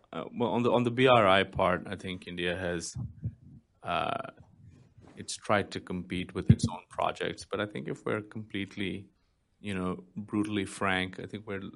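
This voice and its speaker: A man's voice: deep voice, creaky. A man speaking as a panelist in a conversational policy discussion, candid and explanatory in the way he talks.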